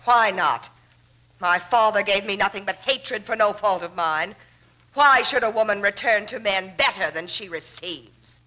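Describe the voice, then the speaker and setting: An American actress playing a bitter, remorseless murderess in a composed, theatrical 1940s radio drama style. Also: female voice, medium pitch, a little slow, bright, forceful tone. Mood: cold, defiant. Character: cold, bitter murderess confessing her crimes without remorse. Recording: vintage 1940s radio broadcast.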